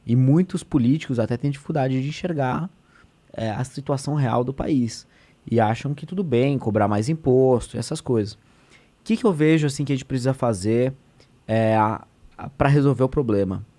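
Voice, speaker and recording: A man's voice: mid-range voice, normal speed, warm tone. A man, a state deputy talking as a podcast guest, explaining in an earnest, conversational way with many fillers and restarts.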